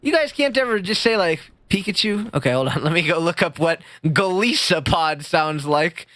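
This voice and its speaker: A man streaming live with a squeaky voice, chatting with his chat in a rambling, jokey way that jumps up high when he gets excited. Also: normal speed, no echo. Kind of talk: casual livestream chat reading chat. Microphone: streaming mic.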